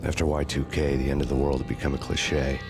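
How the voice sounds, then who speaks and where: A brooding hardboiled American avenger narrates a noir game. His voice is extremely low and flat in pitch, deadpan and wry with world-weariness. Music plays clearly behind him. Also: fast.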